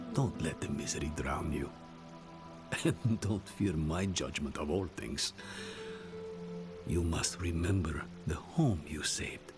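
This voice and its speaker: An actor playing an older, sardonic spiritual guide and loyal friend in a video game, with a measured, wry delivery. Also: male voice, deep voice. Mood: consoling, grave. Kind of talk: dramatic video game cutscene acting. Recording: loud music under the voice.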